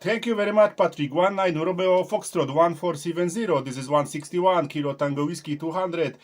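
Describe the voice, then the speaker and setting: A man from southern Poland, making a CB radio contact in halting English and spelling out call signs. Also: fairly high pitch. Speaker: CB radio operator.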